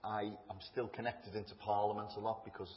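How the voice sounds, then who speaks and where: A middle-aged man with a Liverpool accent speaks from a lectern in a church. His voice sits low and carries a long, ringing echo off the hall. His talk is chatty, self-deprecating and full of humour. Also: level tone, fairly quick. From England. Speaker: guest speaker. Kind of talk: informal talk to a church congregation. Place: church building. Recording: echoey hall, slightly dull sound.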